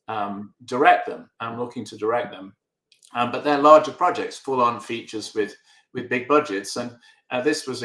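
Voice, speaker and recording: A man's voice: medium pitch, a bit bright. Hesitant Englishman in an echoey room. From England. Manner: hesitant, many restarts and fillers. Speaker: filmmaker guest. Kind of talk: remote interview answers.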